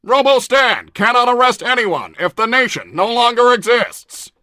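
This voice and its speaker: Calculating cartoon robot, high sharp voice, stiff, formal, emotionless, menacingly deliberate. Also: male voice, lively intonation, no echo, clean studio sound, exaggerated voice. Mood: coldly warlike. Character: cold, calculating, war-hungry robot. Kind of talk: improvised in-character conversation.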